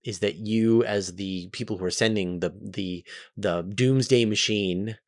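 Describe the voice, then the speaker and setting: A man hosting a question-and-answer show, explaining things conversationally in an animated way with rhetorical questions. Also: fairly low pitch, a little slow. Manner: animated, rhetorical questions, acts out imagined quotes. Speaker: host of a space Q&A show.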